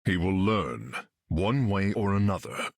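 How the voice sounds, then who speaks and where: Proud god warrior, lowish husky voice, grand archaic delivery, unhurried, video game. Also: male voice, very animated, no echo, clean studio sound, exaggerated voice. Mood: solemn, resolute. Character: proud, lordly Norse thunder god warrior. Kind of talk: video game character lines.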